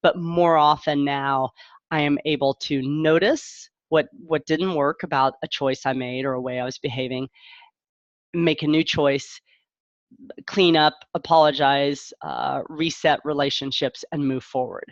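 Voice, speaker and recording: A woman's voice: low voice, steady tone, dry recording. Reflective middle-aged woman, dull muffled recording. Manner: reflective, candid, halting with self-interruptions. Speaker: parent coach. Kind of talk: casual live-streamed talk to viewers.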